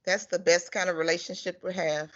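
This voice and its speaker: A woman's voice: lowish voice, level tone, brisk, fairly bright tone. A woman working as a care ombudsman, asking interview questions in a friendly, casual way. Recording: dull lo-fi recording.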